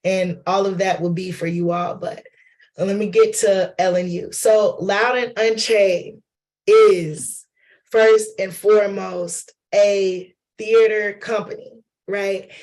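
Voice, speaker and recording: Hoarse man with a cold, slow and chatty, talking to a workshop group, flat delivery. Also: high voice. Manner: chatty, loose, addresses audience as y'all and honey. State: losing voice from a cold. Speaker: lecturer and playwright. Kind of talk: informal workshop talk.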